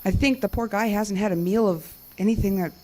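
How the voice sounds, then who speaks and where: An actress playing a wry, direct, sharp-witted woman technician out in the woods in an audio drama. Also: mid-range voice, brisk, close to an everyday voice, rough recording. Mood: casual, amused. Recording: night crickets and insects behind voice. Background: crickets and insect ambience.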